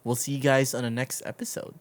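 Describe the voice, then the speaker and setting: A man's voice: medium pitch, level tone, quick pace. A man hosting a podcast in a casual conversational style, speaking informally and feeling his way through his sentences. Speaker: podcast host.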